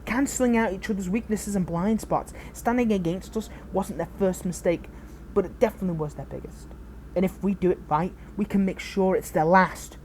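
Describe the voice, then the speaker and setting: A woman's voice: medium pitch, normal speed, a little mellow, slight background noise, voice pushed up, lightly acted, low-quality recording. A woman playing a betrayed former hero turned villain in an audio roleplay, speaking earnestly and intimately, with idealism and bitterness. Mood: determined, persuasive. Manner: earnest, impassioned, intimate address to a partner. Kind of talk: dramatic audio roleplay monologue.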